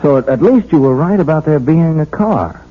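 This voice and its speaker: An earnest young patrolman in an old radio drama, folksy and halting. His husky voice moves around a lot in pitch, and the broadcast is thin and phone-like. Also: expressive. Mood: earnest questioning. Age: young adult. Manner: hesitant, stammering, folksy. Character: earnest, bashful young patrolman sweet on a troubled woman. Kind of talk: 1970s radio drama acting.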